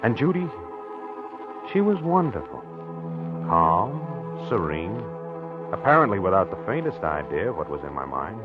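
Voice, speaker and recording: An actor playing a haunted, obsessive husband in a halting, confessional 1940s radio drama style. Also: male voice, on the low side, sing-song, music in the background. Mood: brooding, haunted. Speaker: actor narrating in character. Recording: muffled old 1940s radio recording.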